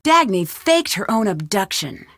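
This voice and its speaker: An actress playing a friendly, composed young detective with a wry manner, in casual adventure game dialogue. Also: female voice, mid-range voice, very animated, unhurried, fairly bright tone, no room sound, studio quality, heavily acted. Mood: matter-of-fact. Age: young adult. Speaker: voice actor in a video game.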